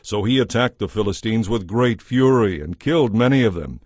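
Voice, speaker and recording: A man narrating a dramatized scripture audiobook in a measured, solemn storytelling manner. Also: on the low side, lively intonation, a little mellow, a little hiss or hum.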